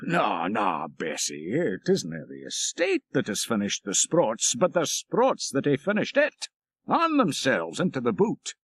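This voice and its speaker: A man's voice: medium pitch, huge pitch swings, a little slow, fairly bright tone, slightly rough, no room sound, heavily acted. A man voicing a dour, moralizing old Scottish shepherd in an audiobook story, preachy and emphatic. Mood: scornful.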